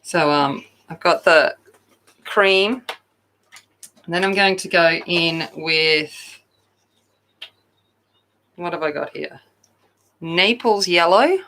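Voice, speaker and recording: A woman hosting a coloring channel, chatting loudly and casually with viewers while she colors, often poking fun at herself. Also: fairly low pitch, takes it slowly, pauses often, slight background noise. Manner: loud, chatty, self-deprecating.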